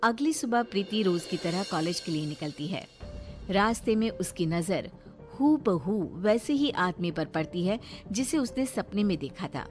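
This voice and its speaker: A woman's voice: medium pitch, animated, measured pace. A woman narrator giving a scripted, dramatic reading of a story. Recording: loud background music.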